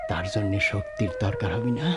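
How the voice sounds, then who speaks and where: A man acting a wise, calm fakir in a Bengali radio drama, preaching mystically to a seeker in a rural western Bangladeshi accent. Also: on the low side, natural voice. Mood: instructive. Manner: exhorting, measured, mystical preaching. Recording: clear music behind the voice.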